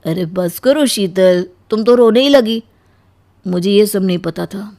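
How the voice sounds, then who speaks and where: A woman's voice: medium pitch, a little slow, dark tone, close to an everyday voice. A woman acts out a newly married young man in a cartoon tale. The husband grumbles and reproaches in a mid-range voice, wrapped up in his own troubles. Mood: helpless. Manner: complaining, reproachful. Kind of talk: cartoon story acting.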